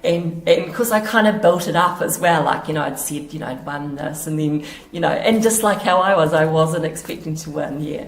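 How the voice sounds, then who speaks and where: A middle-aged woman with a New Zealand accent, slightly hoarse, chatting casually in an interview about her quilting, halting and laughing easily. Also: low voice. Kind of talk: casual chat. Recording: echoey room.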